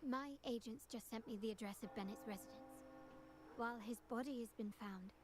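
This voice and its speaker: A woman's voice: on the high side, a little fast, lightly acted. A woman voicing a poised, shrewd young agent in a video game, elegant and coolly teasing. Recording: game music behind the voice.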